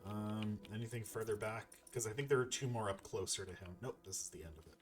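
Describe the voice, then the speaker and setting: A man's voice: fairly low pitch, fast. Casual man narrating gameplay, music behind. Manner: thinks aloud, chatty. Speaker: gaming video host. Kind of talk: casual let's play commentary. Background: game music.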